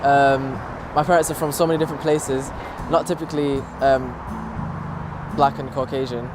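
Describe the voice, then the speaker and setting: A man's voice: medium pitch, level tone, normal speed, rough recording. A young man, a student, speaking thoughtfully and searching for words in a documentary interview. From raised in Manchester, born in London. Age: young adult. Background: music.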